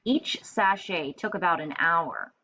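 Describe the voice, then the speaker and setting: Low-voiced woman reading a storybook aloud. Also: lively intonation, a little slow, pushed and bright, highs cut off. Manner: instructive, thinks aloud in simple terms. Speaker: teacher reading aloud to pupils. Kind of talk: storybook narration with explanatory asides.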